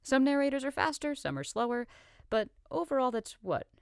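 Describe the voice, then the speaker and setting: A woman's voice: high-pitched, very animated, brisk, gravelly, clear, resonant tone, no echo, studio quality. A woman voice actor casually explaining and answering questions, emphatic and thinking aloud as she goes.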